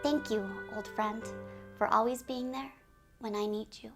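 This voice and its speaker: A woman hosting a game community video series, speaking to viewers in an animated, giggly, presenter's way. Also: on the high side, a little slow, faint music behind. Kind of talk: upbeat scripted video presenting with ad-libbed asides.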